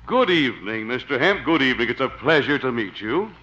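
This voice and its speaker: An actor playing a flattering, folksy con man of a salesman in a 1950s radio comedy, ingratiating in manner. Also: mid-range voice, a little fast, very noisy, lightly acted. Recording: dull lo-fi old radio recording.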